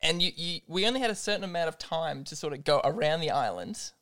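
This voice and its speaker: Australian man, gravelly and slightly higher voice, chatty and often laughing. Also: expressive, fast talker, a bit bright. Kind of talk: casual chat.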